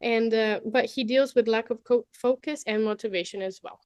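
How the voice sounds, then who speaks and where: A woman's voice: a little high, moderate pace, darkish tone, dry recording, studio quality. A young adult woman with an Italian accent presents a webinar in a chatty way, often adding "okay?" and fillers. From Italy.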